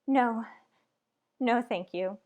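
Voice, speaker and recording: A woman's voice: a little high, very slow, darkish tone. A woman playing a frantic, demanding married woman, overwrought and melodramatic in a retro radio thriller style. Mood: shaken.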